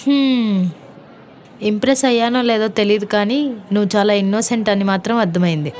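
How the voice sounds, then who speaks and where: A woman's voice: mid-range voice, fairly quick, darkish tone, faint music behind, lightly acted. A woman playing a wry, teasing young college student in a comedy audio drama. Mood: amused, testing. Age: young adult.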